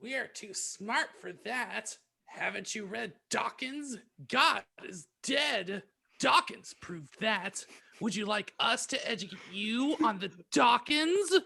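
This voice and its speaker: Raspy, shrill, snooty aunt. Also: male voice, lively intonation, slow, pushed and bright, full character voice. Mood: smug. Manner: sickly sweet, condescending. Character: snooty, smug, preachy middle-aged aunt, screechy.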